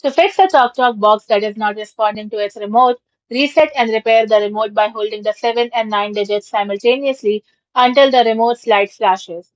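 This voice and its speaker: A woman's voice: on the high side, moderate pace, dry recording, muffled recording. A woman hosting a tech tutorial, reading scripted step-by-step instructions aloud in short headed steps. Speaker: tutorial host.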